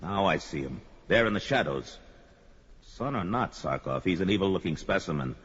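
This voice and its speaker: Man acting a deep, declamatory barbarian swordsman. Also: animated, moderate pace, breathy edge, full character voice. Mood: wary. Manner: forceful, declamatory, theatrical. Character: brawny barbarian swordsman, bold and blunt. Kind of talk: fantasy audio drama acting. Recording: dull lo-fi, loud music underneath. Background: music.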